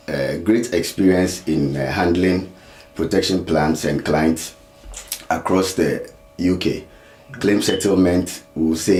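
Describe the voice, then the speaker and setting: Man, very deep voice, talk show guest, measured, fillers, roomy echo. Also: a little mellow. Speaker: insurance consultant guest. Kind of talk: studio talk show interview.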